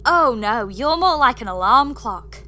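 A woman's voice: a little slow, slight background noise. A woman playing a blunt, sarcastic, exasperated space engineer in a comedy sci-fi audio drama. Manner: deadpan sarcasm rising to shrill exasperation.

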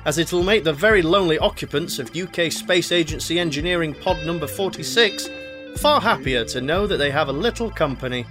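Male announcer, fairly high-pitched, scripted outro read over clear guitar backing. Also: animated, a little fast. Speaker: announcer reading closing credits. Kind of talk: scripted narration. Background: guitar music.

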